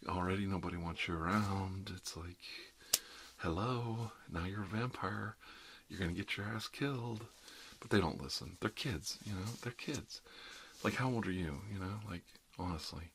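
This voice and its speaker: A middle-aged man speaks in a low register, playing a chatty dentist in an ASMR roleplay. He rambles in a jokey, conversational way, often saying "you know". Light background noise sits behind his voice. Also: normal speed, fairly bright tone. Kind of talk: ASMR roleplay, rambling one-sided casual chat.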